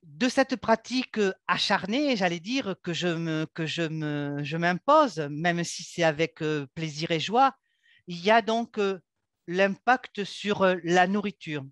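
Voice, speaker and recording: A woman who teaches yoga, talking earnestly and haltingly about her practice, with many filler sounds and restarts. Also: on the low side, no echo. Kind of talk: unscripted personal account.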